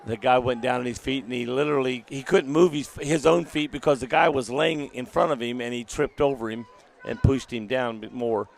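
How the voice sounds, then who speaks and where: A man with a deep, gravelly voice, calling a live local high school football game in a folksy manner while recovering from a lost voice. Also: fairly quick, hardly any pauses. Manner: folksy, excitable on big plays. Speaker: play-by-play announcer. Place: outdoor stadium press box. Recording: outdoor stadium broadcast.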